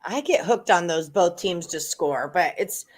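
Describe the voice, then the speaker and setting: A woman's voice: fairly low pitch, expressive, a little slow. A woman hosting a sports betting podcast, chatting casually and asking curious questions. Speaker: podcast host.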